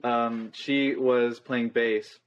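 A nineteen-year-old man talks loosely as a guest on a podcast, piling "like" and "um" into his stories. His voice sits at an ordinary pitch. The recording sounds a little dull. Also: little pitch movement, very slow. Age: young adult. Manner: rambling, loose, many fillers. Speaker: guest musician. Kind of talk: casual interview chat.

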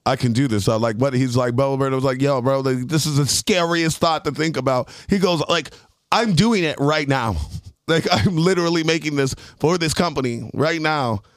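A man's voice: mid-range voice, normal speed, dry recording, studio quality. A man co-hosting a casual podcast, rambling and swearing freely as he talks. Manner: rambling, swears a lot, laughs often. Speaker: podcast co-host.

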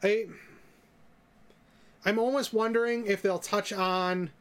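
A man's voice: high voice, fairly even intonation, takes it slowly. A man co-hosting a podcast, chatting casually in a tentative, musing way. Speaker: podcast co-host. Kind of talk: casual chat about comics.

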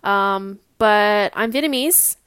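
A woman's voice: mid-range voice, slow pace, fairly bright tone, smooth, dry recording, studio quality. A woman in her twenties with a Californian American accent, hosting a casual personal podcast in a candid, informal, slightly nervous manner. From Long Beach, California, US. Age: 20s. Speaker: podcast host.

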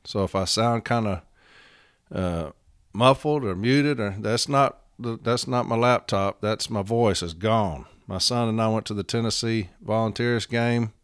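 Hoarse man, low and rambling. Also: a little slow, no room sound, clean studio sound. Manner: rambling, blunt, frequent fillers. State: hoarse, voice worn out. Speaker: podcast host. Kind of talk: casual monologue podcast.

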